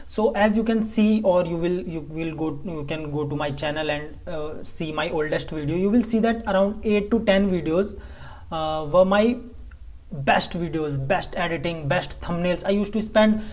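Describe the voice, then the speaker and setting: A man with an Indian English accent talks casually to camera in a vlog. He is a native Hindi speaker, and his delivery is halting, with frequent stumbles and restarted phrases. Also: a little high, a little mellow. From Delhi, India. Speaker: vlogger.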